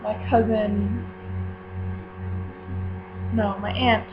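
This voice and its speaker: A woman's voice: mid-range voice, extremely slow, over music. A teenage girl chatting casually to her viewers, rambling with many fillers and correcting herself as she goes. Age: teens. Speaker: vlogger running a book club. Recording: tinny lo-fi with steady mains hum.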